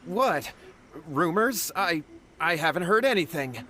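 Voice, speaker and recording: A man's voice: on the high side, expressive, unhurried, pushed and bright, a little hiss or hum. A man voicing a desperate young man in a video game, earnest and anxious as he pleads for help. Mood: pleading.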